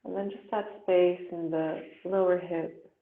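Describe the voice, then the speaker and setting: A woman with a slightly low voice guides a relaxation meditation very slowly and gently, leaving long pauses. Her recording is roomy and echoing, with a thin, muffled, phone-like sound. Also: steady tone. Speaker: yoga instructor.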